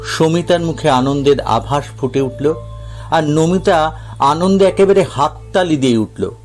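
A man with a fairly high-pitched voice narrates a scripted audio story. His delivery is that of a dramatic storyteller, and music plays softly behind him. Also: a little slow. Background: background music.

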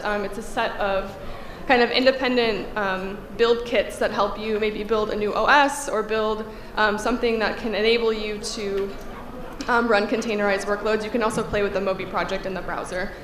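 A woman's voice: fairly high pitch, fairly bright tone. A woman in her thirties giving a technical conference talk at a podium, a little congested from a cold, speaking conversationally with frequent fillers. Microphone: podium microphone. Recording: echoey hall, faint room hum.

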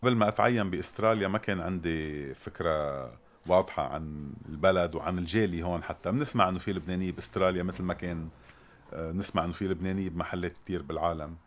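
A man in his fifties with a Lebanese Arabic accent, an ambassador answering in an interview in a measured, hesitant, formal manner. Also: low-pitched. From Lebanon and Sierra Leone. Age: 50s. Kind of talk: interview, recounting his career. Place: indoors, residence sitting room.

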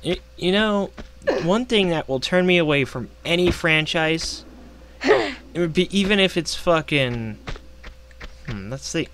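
A hoarse man rants indignantly over gameplay, swearing freely as he talks into a gaming headset. His voice sits at an ordinary middle pitch. A steady hiss and occasional clicks sit under the recording. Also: unhurried, pauses often. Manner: profane, indignant ranting. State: losing his voice. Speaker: gaming vlogger. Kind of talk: casual ranting commentary over gameplay.

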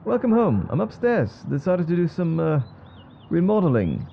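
A man's voice: sits high, sing-song, moderate pace, creaky, lo-fi sound, slight background noise, heavily acted. A man playing a loving, attentive boyfriend in a roleplay audio drama, tender and reassuring with playful pet names. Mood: playful, affectionate. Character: loving, attentive boyfriend comforting his troubled partner.

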